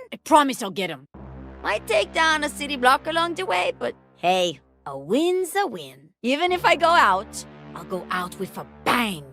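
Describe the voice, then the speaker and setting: Explosives girl for a game, high gravelly voice, playful, music under. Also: a bit bright, heavily acted. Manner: playful, bubbly. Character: cheerful young woman explosives expert. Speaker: game character.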